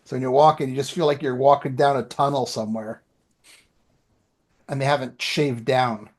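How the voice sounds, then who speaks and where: A man's voice: mid-range voice, fairly even intonation, normal speed. A man telling anecdotes in a rambling, informal way as a podcast co-host. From Canada. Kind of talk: casual chat, anecdotes.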